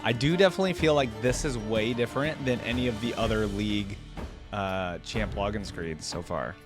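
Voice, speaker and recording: A man's voice: on the low side, normal speed, over music. A man hosting a reaction video, giving casual off-the-cuff commentary in colloquial language as he thinks aloud.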